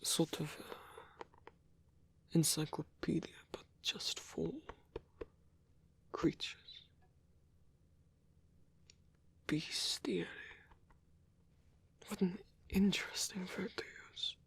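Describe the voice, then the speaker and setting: A man voicing a lonely, gentle old vampire in an ASMR roleplay, with a husky, breathy whisper and halting, formal, musing speech. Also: on the high side, fairly even intonation, full character voice. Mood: hesitant, musing. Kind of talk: ASMR roleplay audio drama.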